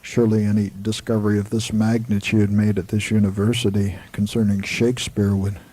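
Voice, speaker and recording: An actor playing an excited, impatient literature professor in an earnest, emphatic way, in 1980s radio drama style. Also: male voice, lowish voice, a little fast, close to an everyday voice. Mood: earnest, intrigued.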